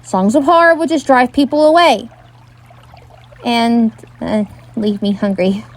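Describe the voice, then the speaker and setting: A woman's voice: on the high side, unhurried, a little hiss or hum. A woman acting a young travelling bard, wry, weary and dryly exasperated, in an audio drama.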